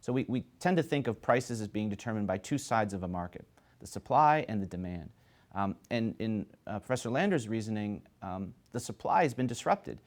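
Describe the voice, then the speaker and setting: A man hosting a TV panel discussion, explaining things in a measured way. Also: lowish voice, lively intonation, darkish tone. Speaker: host and moderator of a TV talk show.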